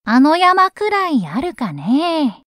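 A woman's voice: high-pitched, wildly swooping pitch, slow, a bit bright, dry recording, voice pushed up, exaggerated voice. A woman voicing a mischievous raccoon dog trickster in a children's story, drawing words out in a self-satisfied way. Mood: sly, demanding.

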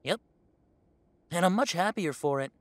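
Defiant, higher-pitched young man played by an actor. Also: lively intonation, normal speed, a bit bright, no echo. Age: young adult. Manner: sarcastic, indignant. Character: defiant, sarcastic young werewolf streamer, estranged heir. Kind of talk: audio roleplay drama acting.

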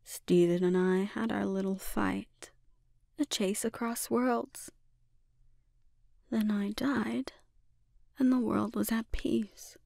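A woman voicing a calmly dominant, taunting sorceress villain in an intimate ASMR roleplay, speaking deliberately and lapsing into breathy whispers. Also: mid-range voice, dry recording, studio quality. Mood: taunting, menacing.

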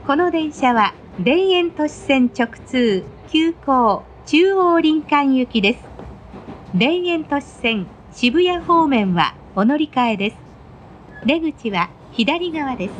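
A woman's voice: sits high, expressive, slow pace. A woman reading scripted railway announcements in a formal, polite manner. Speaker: train announcer. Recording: noisy onboard train recording. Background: train running noise.

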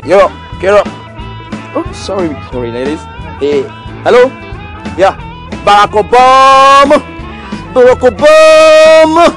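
A man's voice: sits high, a little slow, pauses often, soft background music, poor audio. A man with a Nigerian accent playing a boastful drinker who puts on a showy, slangy swagger in a comedy film. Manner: showy, animated slangy posturing. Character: boastful drinker putting on a returnee-from-abroad swagger, then pleading.

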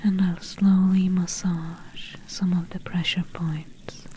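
A woman playing a gentle, caring swamp witch in a soft-spoken ASMR roleplay, whispering slowly and soothingly in a breathy voice. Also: lowish voice, flat delivery, slight background noise. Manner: slow, soothing, hushed.

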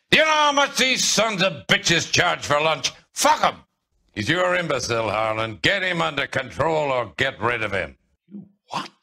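An actor playing a middle-aged crooked American tycoon in a video game, curt, commanding and sardonic. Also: male voice, on the low side, pushed and bright, full character voice. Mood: angry. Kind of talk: dramatic video game acting.

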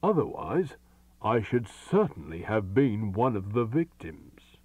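A man's voice: mid-range voice, very animated, measured pace, a little mellow, no room sound. A man doing a pompous old great detective.

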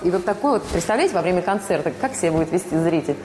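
A woman tells anecdotes in a lively, conversational way. Her voice sits on the low side, and a roomy echo and noticeable background noise surround her. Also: very fast. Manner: chatty, animated storytelling. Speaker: interviewee. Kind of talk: casual interview talk. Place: studio set.

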